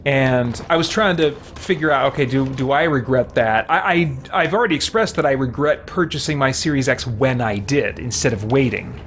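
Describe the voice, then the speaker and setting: Guy streaming a video game, thinking out loud, casual chat, bit of background game noise. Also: medium pitch, moderate pace, few pauses. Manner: musing, thinking aloud. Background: video game sounds and character voices.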